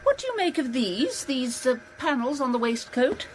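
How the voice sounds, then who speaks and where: An actress playing a brisk, teasing forensic scientist in a radio drama, matter-of-fact and expert. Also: female voice, high voice, big pitch swings, low-quality recording. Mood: brisk, assured.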